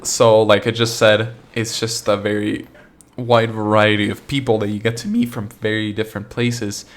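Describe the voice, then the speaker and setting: A young man talks through a solo podcast episode in a relaxed, meandering way. His voice sits a little low. He trips over his own sentences and leans on "like" as he thinks aloud, without any accent. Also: normal speed. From Argentina. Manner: rambling, full of "like" and restarts, laid-back. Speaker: podcast host. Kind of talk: casual solo podcast monologue.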